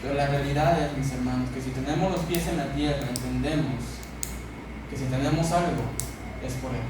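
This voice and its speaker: A man with a Mexican accent preaching a sermon as a pastor, speaking emphatically with repeated phrases and pauses for effect. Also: mid-range voice. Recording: echoey church hall, distant mic, noisy.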